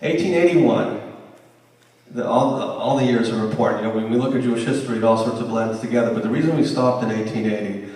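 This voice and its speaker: A man's voice: mid-range voice, fast talker, a little mellow. Man lecturing in an echoey hall. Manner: conversational, with frequent restarts and asides. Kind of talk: history lecture to a class. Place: lecture room. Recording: roomy hall sound, mic at a distance.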